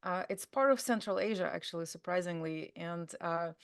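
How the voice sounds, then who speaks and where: A woman's voice: lowish voice, normal speed, vocal fry, smooth. Chatty woman with a Russian accent. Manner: chatty, many fillers and restarts. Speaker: guest. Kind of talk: conversational interview.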